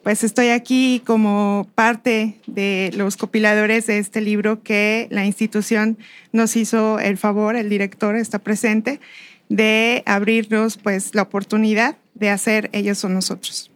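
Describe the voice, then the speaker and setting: Medium-pitched woman with central Mexican accent. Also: level tone, unhurried. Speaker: history teacher, interview guest. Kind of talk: explaining in an interview.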